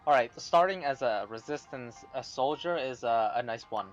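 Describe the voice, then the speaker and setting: A man's voice: mid-range voice, expressive, moderate pace. A man streaming a game, giving casual, offhand commentary in short muttered interjections. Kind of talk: casual gameplay commentary, reacting. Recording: dull lo-fi mic, game music behind.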